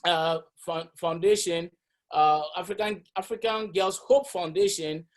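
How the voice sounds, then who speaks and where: A man hosts an online seminar and introduces the next presenter. His voice sits a little high for a man, and he stumbles through names with plenty of uhs and false starts. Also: a little slow. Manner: hesitant, many fillers and restarts. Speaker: webinar host. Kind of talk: introducing a speaker. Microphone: video call.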